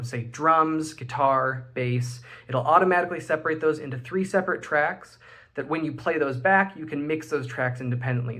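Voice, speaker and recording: A man's voice: medium pitch, a bit bright. A man in his twenties with an American accent, giving a casual project presentation from a room at home. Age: 20s. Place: small home room indoors.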